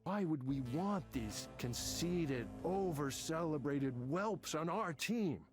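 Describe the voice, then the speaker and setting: A bitter, arrogant tech veteran, music behind. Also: male voice, a little high, animated, slow pace. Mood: scornful. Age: middle-aged. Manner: combative, profane, sarcastic. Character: angry veteran male tech manager, arrogant, bitter, ranting. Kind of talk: visual novel game voice acting. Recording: game audio with music behind.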